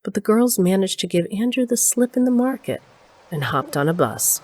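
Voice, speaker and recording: An actress playing a storyteller who narrates an audio drama in a measured, matter-of-fact way. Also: female voice, mid-range voice, very animated, a little mellow, breathy edge. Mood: knowing, measured. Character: matter-of-fact female storyteller recounting her dark tale. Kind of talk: scripted narration.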